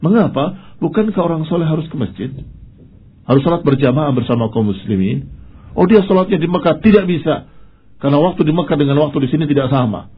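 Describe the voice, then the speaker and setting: A man's voice: a little high, lively intonation, a little fast, slightly rough, phone-quality sound. A man giving an Islamic sermon in a didactic, emphatic way, repeating key points and posing questions that he answers himself. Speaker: Islamic preacher. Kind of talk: sermon, religious lecture.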